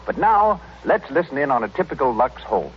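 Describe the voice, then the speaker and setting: A man's voice: medium pitch, sing-song, brisk. A man announcing and narrating a scripted advertisement in an old-time radio style. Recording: muffled old radio recording with noise.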